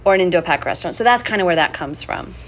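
A woman born in India hosting a food interview show, friendly and quick to laugh. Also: fairly low pitch, animated. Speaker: host.

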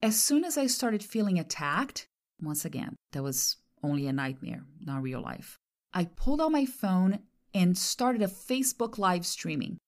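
A woman from Brazil whose first language is Portuguese, hosting a podcast and telling a personal story in a reflective, expressive way. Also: lowish voice, measured pace. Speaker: podcast host and translator. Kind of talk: personal monologue with an ad read.

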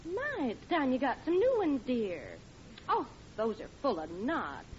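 On a dull, slightly noisy old radio recording, a teasing, exasperated housewife. Her high voice leaps up and down dramatically in sitcom style. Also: huge pitch swings, unhurried, a little mellow, exaggerated voice. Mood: gently fussing. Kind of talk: 1940s radio comedy acting. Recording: muffled old radio with some noise.

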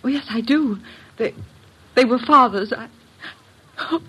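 An actress playing an anxious young heiress in an old-time radio drama, with a very husky, breathy voice. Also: female voice, on the high side, measured pace, fairly bright tone, lo-fi sound, exaggerated voice. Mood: anxious, distressed. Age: young adult.

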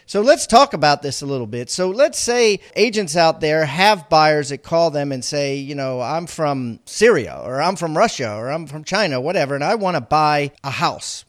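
A man hosts a podcast interview, talking in a loose, conversational way padded with fillers. His voice sits a little higher than most men's. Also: animated, moderate pace, hardly any pauses. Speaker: podcast host.

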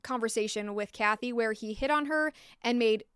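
American woman podcaster telling a story, kinda high smooth voice, blunt and opinionated. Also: moderate pace, fairly bright tone, no room sound, studio quality. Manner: blunt and opinionated, with frank asides. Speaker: podcast host. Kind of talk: storytelling narration.

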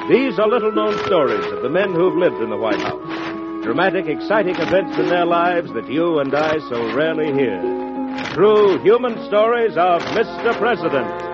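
A man's voice: fairly high pitch, expressive, normal speed. A man announcing and narrating an old radio drama in a stately, dramatic way. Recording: dull old radio recording over loud music.

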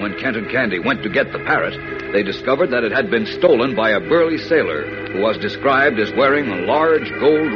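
A man announcing and narrating a 1940s radio serial with dramatic, measured emphasis. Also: on the low side. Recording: muffled old radio recording. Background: prominent music.

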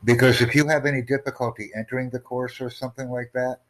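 A man's voice: medium pitch, level tone, fast, background noise. A man hosting a casual video chat, earnestly promoting his language course and repeating himself. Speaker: language-learning channel host.

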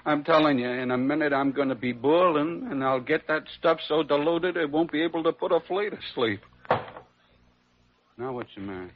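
An actor playing a slangy, soft-hearted working-class ambulance driver who wisecracks in an old radio drama. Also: male voice, on the high side, moderate pace, close to an everyday voice. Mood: anxious reluctance. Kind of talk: 1940s radio drama acting. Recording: dull, lightly noisy old radio recording.

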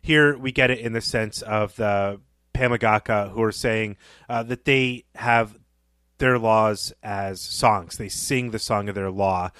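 A man co-hosting a podcast, giving a measured, scholarly analysis in a literary discussion. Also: on the low side, fairly even intonation, a bit bright, clean tone, no room sound, studio quality. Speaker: podcast co-host.

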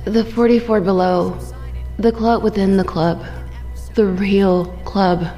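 Audio drama female role, actress: guarded club bartender, streetwise, unhurried, mid-pitched, in long reverb. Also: fairly even intonation, mellow, soft background music, natural voice. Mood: guarded, knowing.

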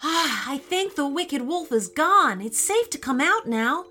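An earnest young storybook wizard, voiced by a woman, speaks in a lightly raised, bright tone. He is innocent and eager to help. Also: high-pitched, expressive, measured pace, soft background music, pitched above the performer's own voice, full character voice. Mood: gentle surprise.

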